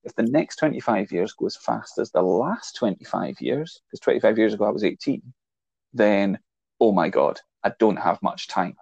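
A man's voice: fairly low pitch, expressive, normal speed, gravelly, a little mellow, slightly rough, dry recording, lo-fi sound. A man in his forties co-hosting a casual film chat, explaining his ideas at length and teasing his younger co-host. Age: 40s. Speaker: podcast co-host.

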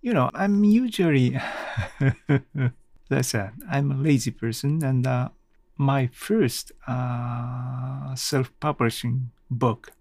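A man from Japan, a native Japanese speaker with a Japanese accent, hosting a casual solo podcast and haltingly thinking aloud. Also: mid-range voice, a little mellow. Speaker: podcast host.

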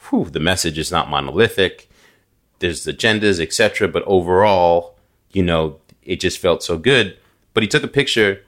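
A man with a very deep, low voice talks casually and animatedly on a podcast. He grew up in New York, and he tells stories with plenty of restarts. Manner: animated storytelling, frequent restarts and fillers. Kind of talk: casual conversation.

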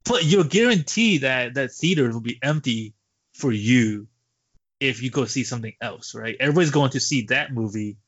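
A man's voice: mid-range voice, lively intonation, normal speed, fairly bright tone, slightly rough, dry recording. A man co-hosting a movie podcast in a casual, conversational style. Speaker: podcast co-host. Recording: dull lo-fi podcast audio.

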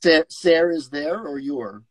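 A man's voice: on the high side, slow, breathy edge. A man from New Jersey on a live stream, puzzling through words aloud and laughing easily. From New Jersey, United States. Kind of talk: casual live-stream chat. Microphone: phone or webcam on a live stream.

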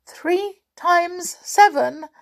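Woman putting on a high-pitched frightened little pixie. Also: takes it slowly. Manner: stammering, fearful. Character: small frightened male pixie servant, timid.

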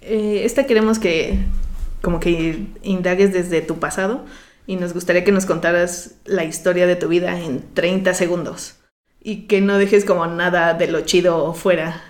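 A woman's voice: fairly low pitch, fairly even intonation, moderate pace. A woman with a Mexican accent co-hosting a podcast, chatting in an informal, slangy way. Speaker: podcast co-host. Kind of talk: casual chat.

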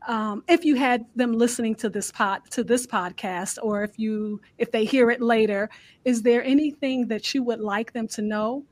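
A woman with a fairly high voice hosts a live storytelling radio show. She talks to guests and callers in an encouraging, affirming manner. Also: fairly even intonation, moderate pace. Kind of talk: live listener call-in interview hosting.

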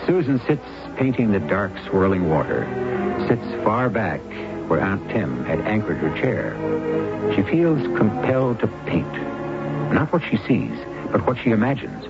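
An actor playing a solemn storyteller host, narrating old-time radio suspense in an ominous, measured, theatrical way. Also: male voice, on the low side, very animated. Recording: muffled old radio recording, loud music behind.

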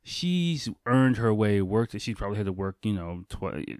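Low-voiced man hosting a casual podcast. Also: very animated, moderate pace, a little mellow, dry recording, clean studio sound. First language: English. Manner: informal, profane, conversational. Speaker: podcast host. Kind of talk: casual solo podcast talk.

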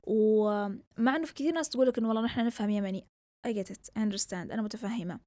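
Fast-talking young Yemeni woman podcaster. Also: medium pitch, a little mellow, no echo. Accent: mixed Yemeni and Saudi Gulf Arabic. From southern Yemen. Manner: chatty, rambling, switches between Arabic and English. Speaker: podcast host. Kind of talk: casual solo podcast monologue.

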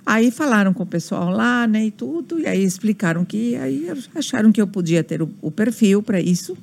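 A woman's voice: medium pitch, animated, normal speed, vocal fry, darkish tone. An older woman from Argentina who speaks Portuguese with an Argentinian Spanish accent, telling stories in a casual interview with many restarts. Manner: storytelling with acted quotes and frequent restarts. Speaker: guest.

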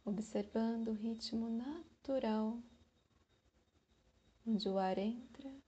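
A Brazilian woman guiding a meditation in a soothing, unhurried manner, with long pauses between short phrases. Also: fairly high pitch, warm tone, husky, dull audio. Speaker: meditation guide. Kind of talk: slow guided meditation.

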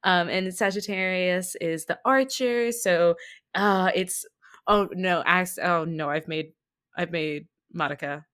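A woman guesting on a podcast, chatting casually in a playful, expressive way. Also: lowish voice, slow pace. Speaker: podcast guest, tabletop roleplay performer. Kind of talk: casual chat.

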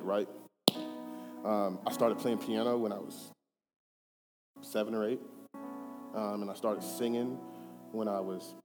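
A man in his thirties giving a personal testimony, earnest and conversational, moving between hushed and emphatic. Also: lowish voice. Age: 30s. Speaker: church speaker giving testimony. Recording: reverberant hall with faint music.

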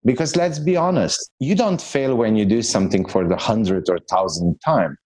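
Middle-aged man, lowish register, earnest, restarts a lot, dry close sound. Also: normal speed, a little mellow, no echo. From Slovenia. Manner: earnest, frequent restarts and repeated words. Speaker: guest, business coach. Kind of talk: podcast interview conversation.

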